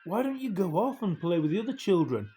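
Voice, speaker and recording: A man voicing a gentle, protective fisherman father in a storybook, speaking tenderly and coaxingly. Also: high voice, big pitch swings, brisk, pitched above the performer's own voice. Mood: gently concerned.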